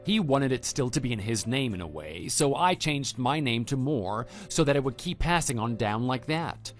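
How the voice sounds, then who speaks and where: A man playing a calm, matter-of-fact husband and former teacher in a scripted online radio drama. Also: medium pitch, close to an everyday voice. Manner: measured, matter-of-fact, quietly dry. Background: background music.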